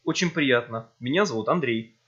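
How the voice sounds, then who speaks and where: A man with a husky voice reading scripted textbook dialogues for a language course, speaking slowly and carefully. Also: mid-range voice, expressive, a bit bright. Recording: dull lo-fi audio.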